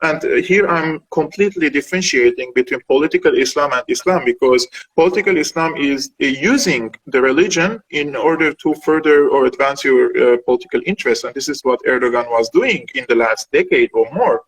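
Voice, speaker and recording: A man from Syria, speaking as a guest analyst in an interview, talking fast with frequent fillers and restarts. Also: mid-range voice, fairly bright tone, breathy edge, poor audio. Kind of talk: interview answer.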